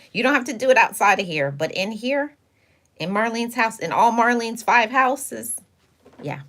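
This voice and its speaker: A woman's voice: mid-range voice, a bit bright. A woman hosting a livestream, talking casually and sassily to her chat, giving motivational advice in a preachy, straight-talking way. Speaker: livestream host.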